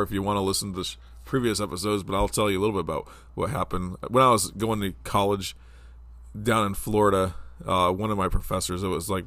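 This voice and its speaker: A man's voice: sits low, fairly bright tone, no room sound. A man in his forties hosting a casual solo podcast, talking in a rambling, conversational way with lots of fillers, his voice stuffed up from a cold. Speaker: podcast host.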